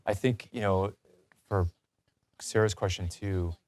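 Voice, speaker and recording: Low-voiced man hosting a talk show. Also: fairly even intonation, unhurried, a little mellow.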